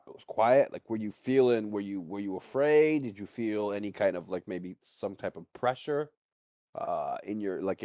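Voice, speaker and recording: A man with a fairly low voice asks casual, probing questions as a livestream interviewer. He rambles a little, rewording each question as he goes. The sound is very dry, with no room echo. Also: darkish tone. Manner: rambling, rephrases questions repeatedly.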